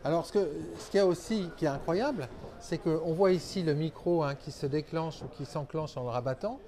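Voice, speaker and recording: A man with a slightly high-pitched voice works as a show interviewer. He talks with open enthusiasm, tossing in exclamations and short reactions as he goes. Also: fairly quick, dark tone.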